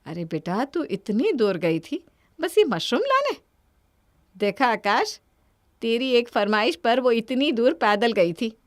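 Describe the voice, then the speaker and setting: A woman's voice: a little high, big pitch swings, slow, long pauses, no room sound, clean studio sound. A woman voicing a kindly, protective older mother-in-law in a cartoon family story. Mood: protective, chiding. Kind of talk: Hindi cartoon moral-story voice acting.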